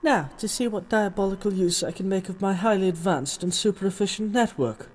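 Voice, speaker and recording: An actor playing a cocky, scheming, insolent tech geek in a comic audio drama, with a sardonic manner. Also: male voice, high-pitched, fairly quick. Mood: scheming, smug.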